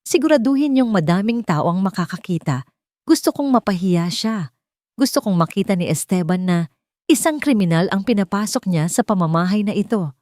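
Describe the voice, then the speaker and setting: Woman voicing a cold scheming elderly mother-in-law, swooping pitch. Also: lively intonation, a little fast, a little mellow, no echo, studio quality, natural voice. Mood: cold, menacing. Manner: cold, manipulative, urgent.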